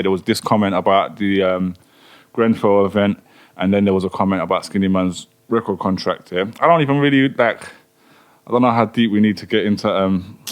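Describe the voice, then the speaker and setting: A man co-hosting a podcast, telling a story in casual chat full of slang. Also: on the low side. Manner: fast, animated, slangy storytelling. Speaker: podcast co-host.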